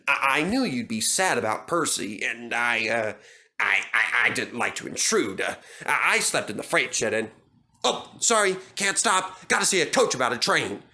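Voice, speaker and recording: A man voicing a bossy, fussy male tank engine, brisk and impatient, for a storybook reading. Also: medium pitch, big pitch swings, pushed and bright, heavily acted. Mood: flustered.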